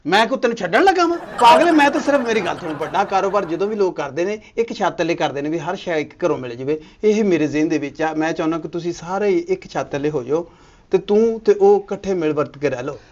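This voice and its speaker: A man's voice: sits high, expressive, fast talker. A man playing a cocky husband with two wives in a comedy skit, teasing and coaxing, then flaring up. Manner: teasing, wheedling, flares up indignantly.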